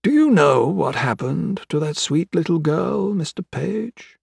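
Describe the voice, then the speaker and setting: A man voicing an older gentleman villain in an audiobook, with florid, mock-courteous, taunting speech. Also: fairly high pitch, animated, a little slow, vocal fry, husky, no echo, studio quality, pitched above the performer's own voice, exaggerated voice. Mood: wistful, sorrowful. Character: menacing, theatrical old gentleman crime boss, mocking and sentimental. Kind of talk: dramatic audiobook character acting.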